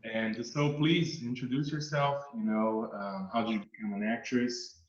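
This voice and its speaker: A man's voice: mid-range voice, steady tone, roomy sound, dull audio, a little hiss or hum. A man from Brazil with a Brazilian Portuguese accent, hosting an interview in English and stopping often to find his words. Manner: halting, searching for words. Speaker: interviewer, channel host. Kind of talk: casual interview.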